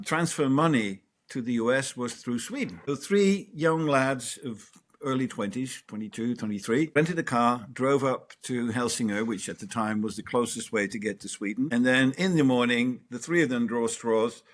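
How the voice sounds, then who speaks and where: A middle-aged man from Denmark who grew up in the Netherlands, telling personal stories as an interview guest in an animated way. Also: medium pitch, normal speed. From Denmark, raised in the Netherlands. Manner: animated, swears and laughs while telling stories. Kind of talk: reflective storytelling.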